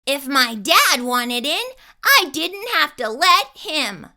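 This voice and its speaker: A woman narrates a children's storybook in a high, very bright voice. Her pace is slow and deliberate, with animated, emphatic phrasing made for young listeners. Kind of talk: expressive read-aloud narration for kids.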